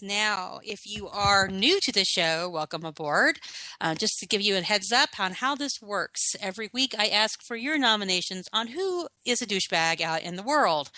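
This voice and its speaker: A woman's voice: mid-range voice, pushed and bright, dry recording. A woman hosting a talk radio show, sarcastic and combative, firing off rhetorical questions, a little froggy from the end of a cold. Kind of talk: opinionated talk radio monologue.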